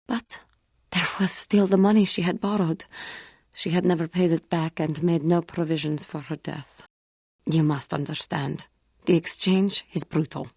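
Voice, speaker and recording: An actress playing a proud but guilt-haunted female feline alien warrior in a video game, speaking formally and earnestly, with halting care. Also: low-pitched, fairly even intonation, gravelly, darkish tone, slightly rough, dry recording, studio quality, heavily acted. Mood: bitter, sorrowful. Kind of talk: scripted video game character dialogue.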